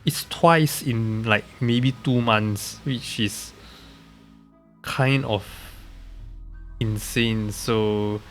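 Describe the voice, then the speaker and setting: Slow-talking nasal man, low voice, congested. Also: fairly bright tone, faint music behind. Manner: halting, hesitant, often trailing off. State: congested, recovering from being sick. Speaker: game streamer. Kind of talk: casual live stream commentary.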